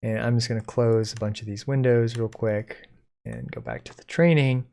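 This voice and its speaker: A man presenting a code-along software tutorial in an informal, step-by-step explaining way. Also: mid-range voice, sing-song, moderate pace, vocal fry. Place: indoors at a computer, screen recording.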